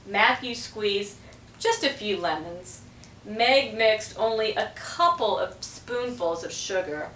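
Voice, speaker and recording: Middle-aged woman, storybook reader: unhurried, animated, roomy distant-mic sound. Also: medium pitch, slight background noise. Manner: measured, expressive storytelling. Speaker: school principal reading aloud. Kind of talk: storybook read-aloud. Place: small indoor room with block walls. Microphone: distant camera microphone. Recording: roomy, distant camera microphone.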